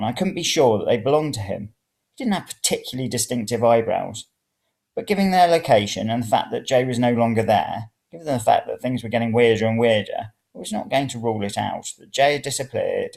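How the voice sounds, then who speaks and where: Man reading a story, creaky voice. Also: medium pitch, darkish tone. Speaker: reader of serial fiction. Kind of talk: first-person story reading.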